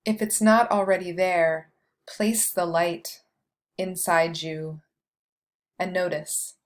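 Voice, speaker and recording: A woman guiding a meditation with scripted, poetic narration, delivered in a measured way with long pauses. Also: fairly low pitch, steady tone. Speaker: meditation guide.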